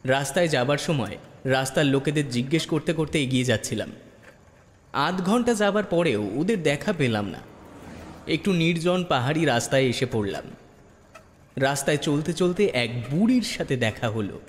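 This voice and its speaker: A man's voice: on the high side, animated, normal speed. Male ghost story narrator in long reverb. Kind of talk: dramatic first-person ghost story narration. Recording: long reverb with music bed. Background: background music.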